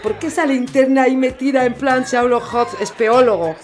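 A woman's voice: high-pitched, moderate pace, a little mellow, soft background music, close to an everyday voice. A woman playing an exasperated, sardonic complainer in a comic audio play, firing off indignant questions. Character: exasperated, sarcastic woman complaining among friends. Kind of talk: comic theatrical monologue in audio fiction.

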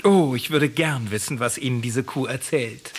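A man's voice: medium pitch, normal speed, a little hiss or hum, lightly acted. An actor playing a polite, inquisitive man in his twenties, a biology student, in a radio play. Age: 20s. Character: young male biology student, smug, with a gold tooth.

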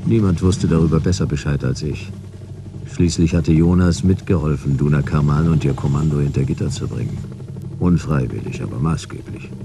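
A hard-boiled, world-weary detective narrating a radio play. His voice is extremely deep with heavy fry, and his delivery is laconic and deadpan. Loud vehicle ambience runs behind. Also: moderate pace, background noise. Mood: dry, sardonic. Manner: laconic, deadpan, clipped sentences. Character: dry, world-weary male detective telling his own case.

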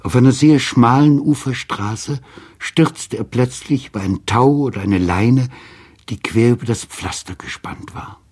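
A man's voice: mid-range voice, a little slow, darkish tone, husky. A man reading a literary story as scripted narration. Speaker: reader of literary prose.

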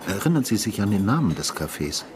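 An actor playing a brooding retired homicide detective in a radio drama, probing and measured in his delivery. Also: fairly low pitch, sing-song, crowd noise. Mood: probing, insistent. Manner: probing, measured, matter-of-fact. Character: brooding retired male homicide detective, insistent questioner and narrator. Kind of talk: radio drama dialogue and narration. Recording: music behind the voice.

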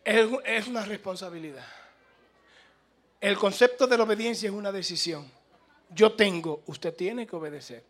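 A man's voice: sits high, moderate pace, long pauses, fairly bright tone. A man with a Latin American Spanish accent preaching an impassioned, informal sermon, emphatic and repetitive, prodding his listeners to respond. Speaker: preacher taking questions from congregation.

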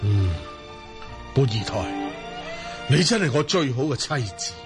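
An actor playing a proud middle-aged Mongol ruler in a Cantonese radio drama, stately and emphatic. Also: male voice, medium pitch, sing-song, unhurried, over music. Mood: pleased, hearty.

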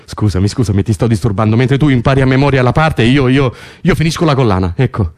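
An actor playing a bossy, coaxing prisoner in a naturalistic radio drama, insistent and teasing, often repeating himself. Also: male voice, mid-range voice, fast, a little mellow, natural voice. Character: bossy, coaxing, excitable male prisoner rehearsing a play.

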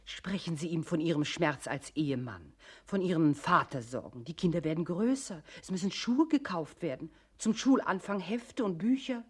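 An actress in a radio play voicing a female instructor who coolly guides a timid employee with measured imperatives. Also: on the low side, expressive, breathy edge. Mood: brisk instruction. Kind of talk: scripted radio play narration.

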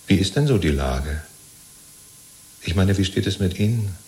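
An actor in a 1970s German radio play, playing a chatty, servile jailed party loyalist who rambles and justifies himself. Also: male voice, deep voice, expressive, normal speed, darkish tone. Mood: self-justifying. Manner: rambling, ingratiating, chummy, seeking reassurance.

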